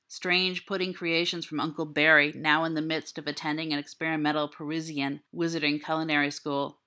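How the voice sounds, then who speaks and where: Low, very bright-voiced woman narrating fiction. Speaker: audiobook reader. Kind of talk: scripted literary narration.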